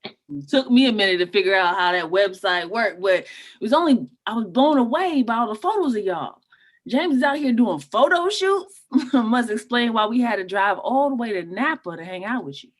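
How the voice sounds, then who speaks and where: Best friend, female, early thirties, razzing and blunt, very lively pitch, read by an actress at a table read. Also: moderate pace. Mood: boisterous teasing. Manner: teasing, blunt, slangy.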